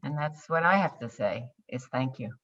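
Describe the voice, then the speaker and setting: A woman's voice: very deep voice, lively intonation, normal speed, fairly bright tone. A woman co-hosting a virtual panel over a video call, enthusiastic as she reads out audience messages. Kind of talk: casual panel chat. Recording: dull lo-fi video-call audio.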